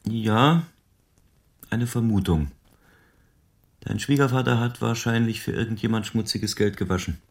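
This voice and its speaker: A world-weary private detective in a radio crime drama. He explains things patiently and soberly in a lowish voice. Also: unhurried, pauses often. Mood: explaining.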